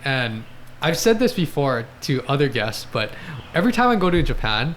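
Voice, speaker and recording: A man's voice: medium pitch, very animated, moderate pace, a bit bright, a little hiss or hum. A man in his thirties hosting a podcast, upbeat and eager, chatting casually with many fillers into a desk mic in a small studio. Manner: upbeat, eager, lots of fillers like "you know" and "right". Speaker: podcast host, athletic trainer. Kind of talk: casual interview chat. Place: small home studio, indoors. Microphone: podcast mic on a desk stand.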